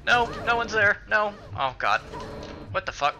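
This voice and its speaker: A man's voice: high voice, sing-song, measured pace, sharp tone. A man hosting a casual let's play, muttering, swearing and talking to the game's characters. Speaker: gaming video host. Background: game music.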